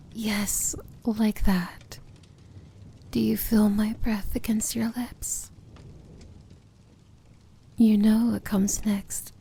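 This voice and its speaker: A woman acts out a powerful evil princess in a romantic fantasy ASMR roleplay. Her voice is breathy and mellow, often slipping into whispers, with a crackle of fry. She speaks intimately, possessive and commanding yet tender. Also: medium pitch, exaggerated voice.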